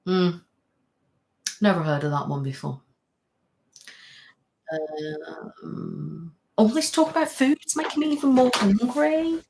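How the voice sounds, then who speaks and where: A woman in her thirties hosts a relaxed live stream, talking in a loose, rambling way. She reads out viewers' comments and answers them as she goes. She sits close to the mic. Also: lowish voice, slow, vocal fry, pauses often, slight background noise, poor audio. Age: 30s. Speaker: ASMR channel host. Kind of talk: casual live-stream chat.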